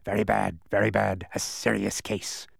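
Storybook eccentric doctor, male, hoarse and croaking, booming and insistent, put on by a man reading aloud. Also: level tone, moderate pace, no room sound, clean studio sound, faint music behind, full character voice. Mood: raspy, quizzing. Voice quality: raspy, croaking.

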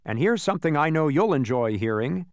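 A man's voice: a little high, expressive, brisk, no room sound, clean studio sound. A man voicing an officious, slyly agreeable little census taker for a storybook. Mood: coaxing, sly. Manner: very official, chuckling, sneering. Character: little officious census taker, agreeable, sly, pedantic old-world bureaucrat.

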